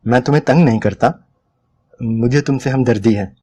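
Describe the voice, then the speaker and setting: A man's voice: medium pitch, moderate pace, husky, natural voice. A man voicing a sympathetic, earnest man in a dramatic story reading, polite and pained. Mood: pained sympathy. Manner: soft-hearted, earnest, polite. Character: sympathetic, gentle, pained man pleading with a woman.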